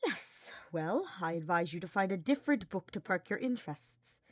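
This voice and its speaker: A woman voicing an irritable, stern male dark mage in an audiobook, growling and formal. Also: fairly low pitch, expressive, normal speed, no room sound, studio quality. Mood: irritated. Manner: growling, clipped through clenched teeth, formal. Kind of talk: audiobook character voice.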